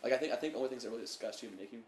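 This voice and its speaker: A man's voice: mid-range voice, racing, a little mellow. A young man co-hosting a casual podcast, rambling and profane, with lots of stammered restarts. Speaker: podcast co-host. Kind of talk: casual banter. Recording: echoey room with faint noise.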